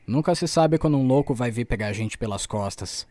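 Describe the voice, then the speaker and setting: A man's voice: mid-range voice, lively intonation, a little fast, mellow, no echo, natural voice. A Brazilian man acting in an audio drama as a bold, determined journalist who talks in an insistent, colloquial way. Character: determined male journalist, bold, stubborn investigator.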